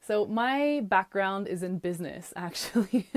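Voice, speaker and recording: A woman whose first language is French hosts her podcast, chatty and upbeat, with little laughs slipped in between phrases. Her voice sits at a middle pitch for a woman, and she talks in a relaxed, personal way. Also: unhurried. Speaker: podcast host. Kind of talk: casual solo podcast monologue.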